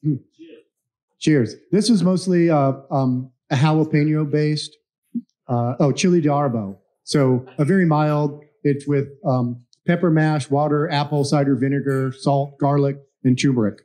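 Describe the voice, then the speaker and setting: A man moderating a panel hot sauce challenge, briskly and informally explaining each sauce. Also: a little high, dark tone.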